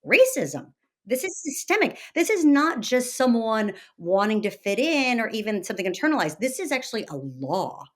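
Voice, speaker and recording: A woman in her early fifties from Texas talking conversationally as a podcast guest, emphatic and often halting to correct herself. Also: fairly high pitch, very animated. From Texas, United States. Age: early 50s. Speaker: podcast guest, author and meditation teacher. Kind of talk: conversational interview, personal storytelling.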